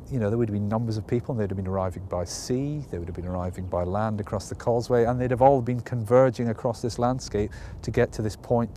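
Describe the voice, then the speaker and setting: A man's voice: fairly low pitch, warm tone, a little hiss or hum. A man explaining things in a conversational way, hedging with fillers as he goes. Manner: conversational, hedging with "you know" and "um". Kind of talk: explanatory talk.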